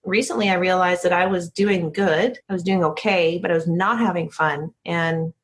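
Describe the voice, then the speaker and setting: A woman's voice: fairly low pitch, steady tone, normal speed. A woman speaking as an interview guest, candid and informal, emphatic and often interrupting herself as she talks. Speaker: interview guest, business founder.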